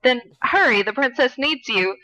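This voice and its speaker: Small male mushroom creature, read by a woman: bright, high, earnest, urgent game-world quest giver. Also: expressive, normal speed, poor audio.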